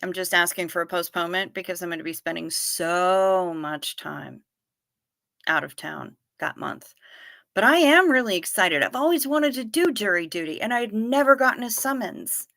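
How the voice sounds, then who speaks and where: A woman's voice: on the low side, animated, moderate pace, vocal fry, pushed and bright, no echo, clean studio sound. A woman in her fifties hosting a livestream, chatting playfully and answering viewers by name. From Niagara Falls region. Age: 50s. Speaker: livestream host. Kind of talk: casual livestream chat reading viewer comments.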